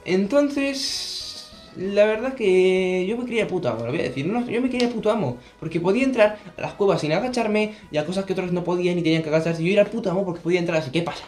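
A man's voice: high-pitched, lively intonation, fast, darkish tone, soft background music. A teenage boy with a Spanish accent from Spain, chatting casually to camera as a gaming vlogger in his bedroom, jokey and rambling. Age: teens. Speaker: gaming youtuber. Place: bedroom, indoors. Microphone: camera on desk, close framing.

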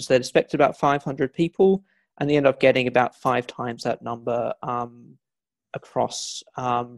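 A man with a gravelly voice answers interview questions as a historian. He talks at length, hesitating and restarting often, and his voice sounds dry and close to the mic. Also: mid-range voice, darkish tone, no echo. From not from Scotland; Scottish family. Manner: discursive, many fillers and restarts. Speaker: historian guest.

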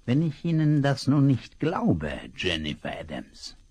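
An actor playing a scheming male villain in a radio play, sneering and threatening with mock politeness, slightly husky. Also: medium pitch, very animated, measured pace, darkish tone. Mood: menacing. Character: scheming male villain rancher, cold and menacing. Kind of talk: 1970s radio play acting.

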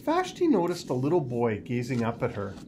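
A man reads a children's picture book aloud with deliberate, expressive storytelling in a small office. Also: medium pitch, noisy background. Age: 50s. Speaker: school superintendent reading aloud.

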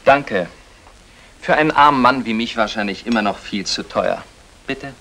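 An actor playing a sarcastic, pedantic husband who needles drily, in the style of a 1960s German radio play. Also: male voice, mid-range voice, moderate pace, bright, forceful tone. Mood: bitter, sarcastic. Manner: dry, sardonic, needling. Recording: noisy old radio play recording.

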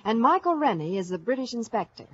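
A woman's voice: on the high side, big pitch swings, fast, breathy edge. A woman reporting Hollywood news in scripted 1950s radio promotion. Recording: muffled vintage radio broadcast.

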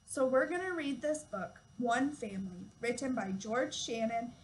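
Young female preschool teacher, high-pitched, simple instructive read-aloud delivery for toddlers, noisy room recording. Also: moderate pace. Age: young adult. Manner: simple, instructive phrasing with questions to children. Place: small classroom, indoors.

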